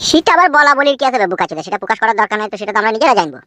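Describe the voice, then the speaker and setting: High, rapid-fire scheming village politician, acted by a woman. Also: sing-song, a bit bright, no room sound. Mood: sly, impatient. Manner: crude, quick to scold. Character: scheming, hot-tempered village politician hungry for office. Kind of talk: comedy cartoon dubbing.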